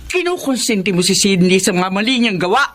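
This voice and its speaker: A woman's voice: medium pitch, very animated, fairly quick, a bit bright. An actress playing a stern, wounded middle-aged mother in an emotional Tagalog family melodrama. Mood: angry, bitter. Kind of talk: Tagalog melodrama radio acting.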